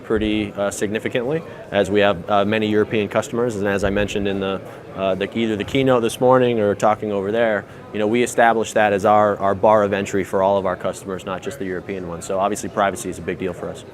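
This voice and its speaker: A man speaking as a panel guest, quick and loose with many fillers. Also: on the low side, little pitch movement. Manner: fast, many fillers and restarts. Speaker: panel guest, data protection officer. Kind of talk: live panel discussion.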